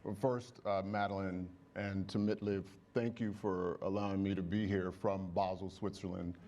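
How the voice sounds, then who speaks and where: A man's voice: low-pitched, flat delivery, moderate pace, warm tone. A man, a guest at a stage conversation, laying out his points in a structured, orderly way. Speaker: guest speaker on diversity and inclusion. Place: stage at a conference.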